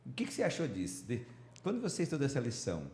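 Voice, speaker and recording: A man's voice: mid-range voice, wildly swooping pitch, rapid-fire, darkish tone. A middle-aged Brazilian man hosting a Bible study, teaching conversationally and often checking for agreement with short tag questions. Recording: roomy, echoing, faint background noise.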